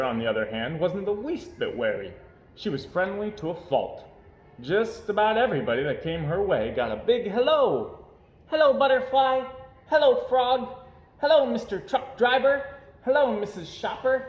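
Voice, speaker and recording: A man narrating a children's picture book aloud in an expressive storytelling style. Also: fairly high pitch. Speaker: storybook reader. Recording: roomy, echoey indoor sound.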